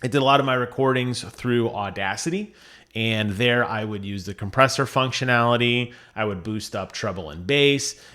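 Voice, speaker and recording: A man's voice: medium pitch, moderate pace, bright, forceful tone. A man presenting audio gear in a casual explanatory vlog, talking conversationally with emphatic repetition of key phrases.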